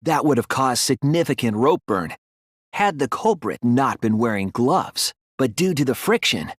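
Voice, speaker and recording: An earnest teenage boy detective in a video game. His delivery is careful but very animated, moving between tentative and insistent as he reasons. The voice has a soft rasp and is recorded dry and close. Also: mid-range voice, a little slow, no echo. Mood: measured, explanatory. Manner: hesitant at times, then insistent and explanatory. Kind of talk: English video game dub, deductive dialogue.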